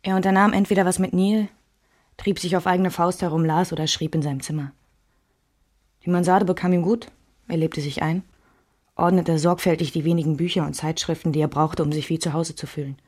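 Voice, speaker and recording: A woman's voice: fairly low pitch, fairly even intonation, brisk, darkish tone, lightly acted. An actress playing a reflective, restrained woman recalling her married life in a scripted radio drama.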